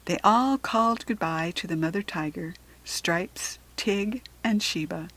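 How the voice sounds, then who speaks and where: A woman with an American accent narrating a children's storybook in an expressive, careful read-aloud manner. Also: fairly low pitch, measured pace, rough recording.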